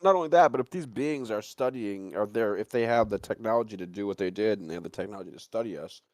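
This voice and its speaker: Casting: man with a low, dry-recorded voice, loose conversational rambler, circles back on his own phrases. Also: fast talker, darkish tone, dry recording. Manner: rambling, repeats himself, casual swearing. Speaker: livestream talk participant. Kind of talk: casual chat, animated storytelling and speculation.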